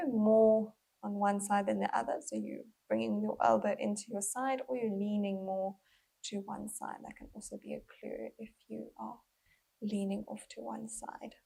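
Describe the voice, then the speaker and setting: Breathy, husky woman guiding a body scan. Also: medium pitch. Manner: gentle, unhurried guiding with pauses. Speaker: mindful movement instructor. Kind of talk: guided body scan meditation.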